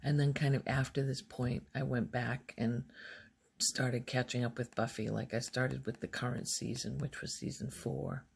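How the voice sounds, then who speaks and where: A soft-spoken woman rambling in a halting, unhurried ASMR style, her quiet voice often close to a whisper. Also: little pitch movement, creaky, breathy edge. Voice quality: soft, low-volume voice, often near whisper. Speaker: ASMR video host.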